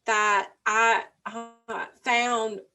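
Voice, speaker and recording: Middle-aged female co-host, slightly high voice, slow and halting, thoughtful casual talk. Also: little pitch movement, pushed and bright, soft background music. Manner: halting, restarts and fillers, thoughtful. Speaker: podcast co-host. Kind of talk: casual reflective chat.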